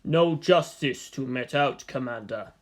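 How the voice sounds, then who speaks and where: A narrator voicing sinister, bored phantom voices inside a boy's head, with a low whispery sound. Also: measured pace, lightly acted.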